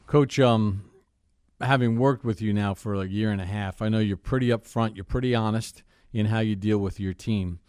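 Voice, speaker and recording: A man working as a sports radio interviewer, putting measured, respectful questions. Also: fairly low pitch, darkish tone, clear, resonant tone, no room sound, clean studio sound.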